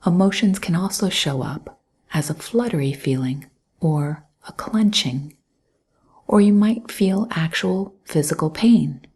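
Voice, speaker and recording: Meditation guide, female. Very slow, breathy delivery, gentle and calm, often close to a whisper. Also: lowish voice. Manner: gentle, calm, unhurried instruction with long pauses. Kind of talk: guided mindfulness meditation, scripted narration.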